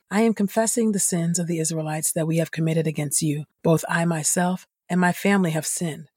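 A woman's voice: fairly low pitch, darkish tone, husky, no echo. Woman reading scripture, close and dry. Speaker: podcast host and Bible reader. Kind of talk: scripted audio Bible reading.

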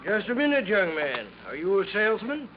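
An actor playing a folksy, nosy but helpful apartment house manager in a 1950s radio drama, chatty and colloquial. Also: male voice, high-pitched, big pitch swings, fairly quick. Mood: suspicious. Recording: muffled, noisy 1950s radio recording.

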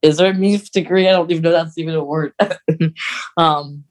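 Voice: medium pitch, fairly even intonation, normal speed. Rambling podcast co-host in casual chat.

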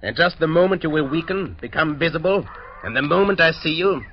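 An actor playing a cold-blooded, urbane doctor villain in an old-time radio drama, speaking with measured, polite menace and deliberate hesitations. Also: male voice, on the high side, animated. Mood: gloating threat. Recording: dull, noisy old radio recording.

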